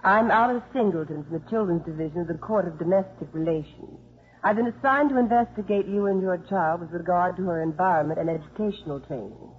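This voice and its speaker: An actress playing a crisp, formal female court investigator who also narrates, in a 1950s radio drama. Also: on the low side, fast, a little hiss or hum, close to an everyday voice. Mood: prim, official. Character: prim, composed young female court welfare investigator. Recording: muffled 1950s radio recording, music behind.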